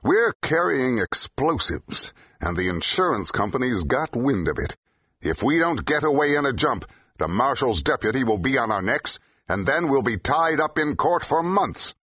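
Driven film director, gruff growl, forceful pitchman delivery, animated intonation, dry slightly muffled studio sound. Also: no room sound. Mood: urgent, gruff. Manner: forceful, emphatic, fast-tongued pitchman. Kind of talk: full-cast audiobook acting, period 1930s dialogue.

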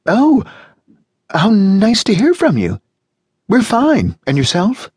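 A man voicing a cheerful, shrill, high-pitched American housewife who gushes and chatters gaily in an audiobook. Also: wildly swooping pitch, takes it slowly, darkish tone, husky, no room sound, clean studio sound, exaggerated voice. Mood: gushing. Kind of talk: audiobook reading.

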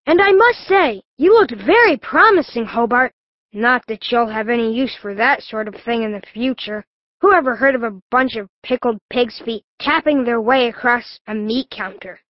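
A woman's voice: fairly high pitch, moderate pace, no room sound, lightly acted. A woman voicing a gloomy, sardonic young pig in a children's audiobook, dry and grumbling. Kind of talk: audiobook character acting. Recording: dull, lo-fi audiobook sound.

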